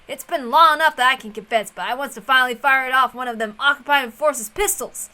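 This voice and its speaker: A woman's voice: sits high, brisk, pushed and bright. A woman voicing a boastful middle-aged man in a visual novel, with a blustery, folksy manner. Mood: boastful, reassuring. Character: boastful middle-aged man telling old war-era stories.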